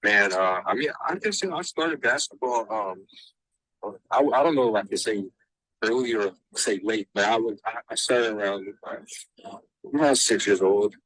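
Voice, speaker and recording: A young man from Massachusetts, raised in Texas, answering interview questions as a basketball recruit, halting with many fillers and restarts. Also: on the low side, a bit bright, rough recording. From born in Massachusetts, raised in Texas. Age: young adult.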